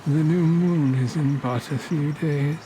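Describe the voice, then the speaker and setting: Man playing a slow, menacing old cult patriarch. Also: a little high, mellow, a little hiss or hum, voice pushed up, natural voice, rough recording. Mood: coaxing, menacing. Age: older. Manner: formal, unhurried, silkily courteous speech with deliberate pauses. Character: menacing wealthy old male cult leader, courteous and greedy. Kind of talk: horror audio story character.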